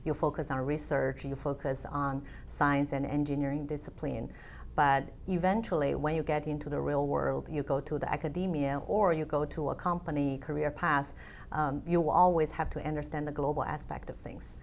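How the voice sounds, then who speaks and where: A woman's voice: low voice, fairly even intonation, moderate pace, creaky, a little hiss or hum. A woman, a guest in an interview, speaking thoughtfully with frequent fillers. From China. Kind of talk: conversational interview.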